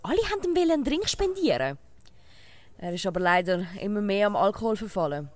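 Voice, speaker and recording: An actress playing a brisk, gossipy village tavern keeper in a Swiss German comic audio drama. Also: female voice, medium pitch, big pitch swings, no echo, close to an everyday voice. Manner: no-nonsense, gossipy.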